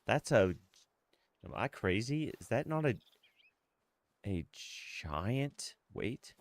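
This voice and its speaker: A man's voice: lowish voice, big pitch swings, slow pace. A congested, sniffly man in his mid thirties chatting casually as he livestreams a game, close on the mic. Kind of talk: casual livestream chat with gameplay commentary. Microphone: close streaming microphone.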